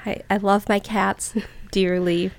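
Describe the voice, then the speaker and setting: A woman's voice: medium pitch, level tone, slow. A woman co-hosting a podcast in casual chat, rambling and laughing often. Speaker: podcast co-host. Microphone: studio mic.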